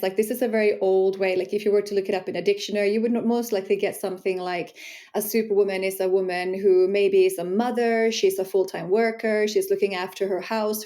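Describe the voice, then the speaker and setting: Finnish-accented woman, podcast guest, mellow voice, earnest and reflective. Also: mid-range voice. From Finland. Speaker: guest, sensuality empowerment coach. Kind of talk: podcast interview.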